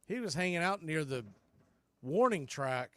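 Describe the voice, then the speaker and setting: A man calling baseball play-by-play as a radio announcer, in clipped, folksy phrases that range from quiet to excited. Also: fairly high pitch, a little slow, no echo. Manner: swings from hushed calls to excited shouts. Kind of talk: live radio play-by-play commentary.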